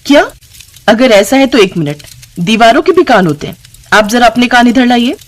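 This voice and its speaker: A woman's voice: on the high side, lively intonation, moderate pace, pitched above the performer's own voice. A woman voicing a greedy, nagging, scheming village wife who can turn wheedling, in a narrated story. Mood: sly. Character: sharp-tongued, greedy, scheming village wife and sister-in-law. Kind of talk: acted dialogue in a narrated story.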